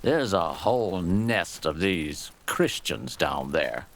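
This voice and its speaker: A man voicing a gruff, scornful old Japanese soldier in a storybook tale, with emphatic, contemptuous delivery. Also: low voice, big pitch swings, voice pushed down, exaggerated voice. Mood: angry, dismissive. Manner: emphatic, contemptuous, drawn-out key words. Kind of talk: storybook character dialogue.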